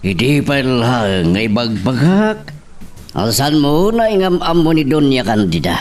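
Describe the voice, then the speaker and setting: A man with an Ilocano accent acting a scheming politician father in an audio drama, deliberate and full of pauses. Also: mid-range voice, very animated. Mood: scheming, grave. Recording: slightly distant microphone.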